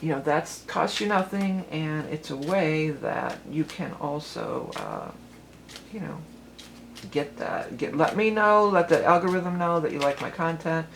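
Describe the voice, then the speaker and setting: A woman with a lowered voice, doing a chatty, rambling tarot reading for her vlog, full of fillers and restarts. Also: big pitch swings, unhurried, vocal fry, a little hiss or hum. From Cleveland, Ohio. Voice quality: lower voice than she once had. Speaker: tarot reader and musician.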